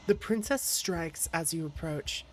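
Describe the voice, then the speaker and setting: A woman's voice: sits low, unhurried, slight background noise, poor audio. A woman streaming a game, reading visual novel narration aloud and chatting casually with viewers. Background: game music.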